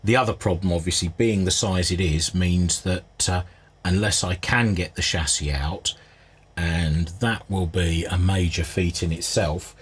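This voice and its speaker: A man with a fairly low, husky voice talks through a hands-on inspection. He presents like a restorer at work, thinking aloud in a measured, understated way without a script. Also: steady tone. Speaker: vintage radio restorer presenting a repair video. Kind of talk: unscripted hands-on inspection commentary.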